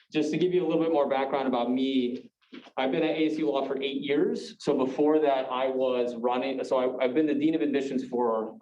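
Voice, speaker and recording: A man's voice: mid-range voice, darkish tone. A man who is a law school dean of admissions speaks candidly and conversationally to a group of students, often tagging "right?" onto his points. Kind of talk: informal talk to students. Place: classroom or meeting room. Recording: dull echoey room from far off.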